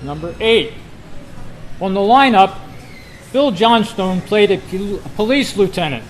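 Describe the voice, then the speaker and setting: Male quizmaster, higher register, deliberate question-reading to a gathered club, noisy live meeting recording. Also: animated. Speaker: quiz host at a club meeting. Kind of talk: reading trivia questions aloud to a live group.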